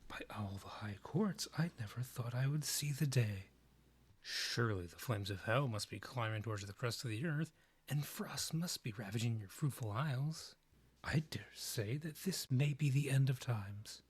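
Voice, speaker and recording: A man acts out a proud vampire king in a fantasy audio roleplay. The voice is breathy and husky at a middling pitch. The phrasing is formal and measured, with a courtly, theatrical polish. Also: expressive. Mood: dry teasing. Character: proud, courtly male vampire monarch, rival and ex. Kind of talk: scripted roleplay audio, ASMR.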